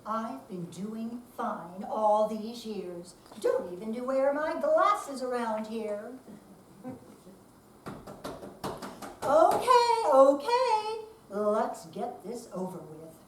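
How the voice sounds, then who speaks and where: A woman's voice: fairly high pitch, sing-song, takes it slowly, few pauses. A woman playing a stubborn, sarcastic retired woman in a live readers theater piece, in an echoey room. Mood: grumbling. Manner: sarcastic, grumbling. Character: feisty, stubborn, grumbling retired independent woman. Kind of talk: readers theater dramatic reading. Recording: echoey room, distant mic, faint noise.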